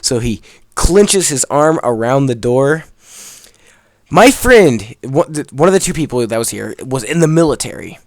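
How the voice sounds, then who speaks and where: A man's voice: medium pitch, expressive, moderate pace, dry recording, clean studio sound. A young man telling a story in a casual, rambling, emphatic way, swearing freely as he goes. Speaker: video creator telling a story over gameplay.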